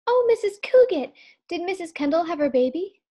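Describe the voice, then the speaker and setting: A woman's voice: extremely high pitch, big pitch swings, normal speed, warm tone. A woman playing a polite, plain-spoken household maid in an old-time radio sitcom style. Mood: polite, frank.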